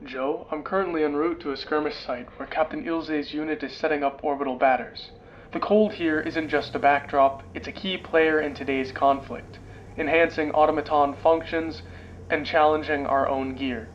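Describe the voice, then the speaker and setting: A man's voice: fairly high pitch, fairly even intonation, moderate pace, lo-fi sound, slight background noise, lightly acted. A man playing an earnest war correspondent giving dramatic field reports in a sci-fi radio play. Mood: grave, resolute. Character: earnest, gritty male war correspondent at frozen front.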